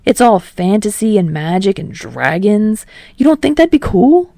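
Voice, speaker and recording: An earnest young man voiced by a female reader in an audiobook story. The tone is warm and relaxed with some fry, in a very dry, close recording. He talks casually and amiably, coaxing along with open curiosity. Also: mid-range voice, normal speed, dry recording. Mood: encouraging. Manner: casual, upbeat, gently coaxing.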